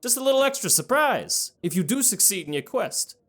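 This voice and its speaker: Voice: mid-range voice, big pitch swings, brisk, a bit bright. A performer voicing a town mayor handing out quest money in a coaxing, businesslike way, in a fantasy audio drama.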